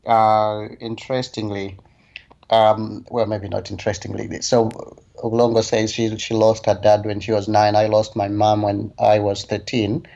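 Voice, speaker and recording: Low-voiced man reflecting in a muffled interview. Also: level tone, moderate pace, creaky, warm tone. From Zambia. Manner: thoughtful, hesitant with many fillers. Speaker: guest, health advocate. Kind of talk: relaxed conversational interview.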